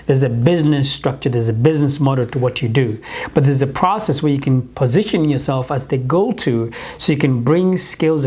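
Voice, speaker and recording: A man's voice: mid-range voice, darkish tone, slightly rough. A man in his thirties with a Ugandan accent, giving an earnest, urging motivational talk to camera on a lapel mic in a small office kitchen. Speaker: business coach.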